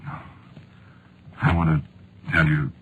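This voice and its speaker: Weary, low-voiced dying man, vintage radio. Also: little pitch movement. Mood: faint, fatalistic. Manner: halting, laconic, resigned delivery. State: weak, in pain. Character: weary, fatalistic man dying of a gunshot wound. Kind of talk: vintage radio drama acting. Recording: noisy vintage radio recording.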